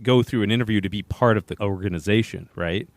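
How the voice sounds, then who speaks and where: A podcast host with a low voice who interviews his guest casually. He asks curious follow-up questions and restates the guest's points. The sound is dry and close. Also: quick pace, dry recording.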